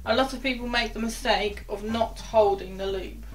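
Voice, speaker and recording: A woman's voice: a little high, fairly even intonation, moderate pace, poor audio. Chatty young woman teaching knots. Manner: step-by-step, chatty, drops to quiet asides while working the rope. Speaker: sailing instructor. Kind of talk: knot-tying tutorial. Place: small wooden garden cabin, indoors.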